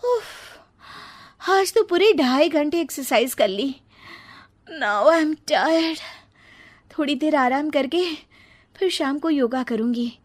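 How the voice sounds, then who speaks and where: A woman's voice: sits high, lively intonation, slow, pauses often, pitched above the performer's own voice. A woman voicing a young, fitness-obsessed daughter-in-law in a cartoon story, fussy, easily flustered and dropping in English phrases. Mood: weary. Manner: mixes English phrases into speech.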